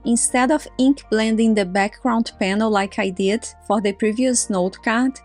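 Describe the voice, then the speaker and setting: A woman whose first language is Portuguese, giving a calm, step-by-step scripted crafting tutorial voice-over. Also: medium pitch, no room sound.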